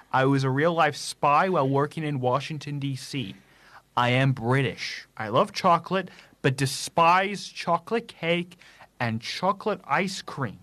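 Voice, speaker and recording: A man presenting a casual quiz segment on a radio show, reading clues haltingly with stumbles and restarts. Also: mid-range voice, fairly bright tone.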